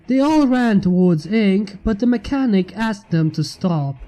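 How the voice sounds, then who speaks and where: A man narrates a fantasy tale from a script. His voice is soft-edged and mellow, with music playing underneath. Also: sits high, animated, unhurried. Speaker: fan fiction reader. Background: background music.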